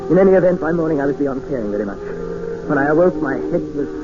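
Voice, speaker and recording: Man acting english gentleman narrator, polite, understated, reflective, dull old radio sound, music underneath. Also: a little high, animated, quick pace, lightly acted. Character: feverish English gentleman castaway, polite and reserved. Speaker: narrator and lead character. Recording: muffled 1950s radio broadcast with music score.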